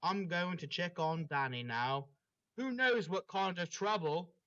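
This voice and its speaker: A man's voice: high-pitched, expressive, measured pace, a bit bright. A man reading the part of an anxious, huffy cat-girl raisin mascot in a story, over dull lo-fi audio. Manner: indignant, folksy exclamations.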